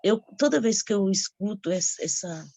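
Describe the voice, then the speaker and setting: A Brazilian woman commenting informally on scripture as a participant in a devotional broadcast, halting as she searches for words. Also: mid-range voice, lively intonation, gravelly, dark tone, no echo.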